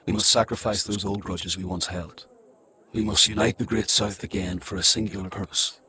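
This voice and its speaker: An actor playing a stern, paternal male prophet-like leader in a dark fantasy audio drama, grave, formal and commanding. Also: fairly low pitch, fairly even intonation, moderate pace. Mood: solemn.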